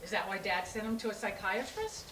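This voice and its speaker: A woman's voice: medium pitch, lively intonation, fairly quick, a bit bright, slight background noise, close to an everyday voice. A woman in a staged play reading, playing a concerned, persistent sister who questions her family insistently and with exasperation. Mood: exasperated, accusing.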